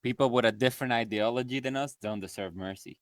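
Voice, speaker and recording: A man takes part in a tabletop role-playing game as a player. He banters casually in a voice of ordinary male pitch. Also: fast talker, slight background noise.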